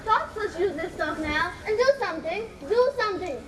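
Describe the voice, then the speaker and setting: Reproachful young daughter, played by a woman, piercingly high, declaiming on stage amid very loud noise. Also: lively intonation, fairly quick. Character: reproachful, outspoken young daughter fearing destitution. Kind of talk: amateur stage play acting. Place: school stage performance, recorded from a distance. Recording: echoey distant mic, loud crowd noise.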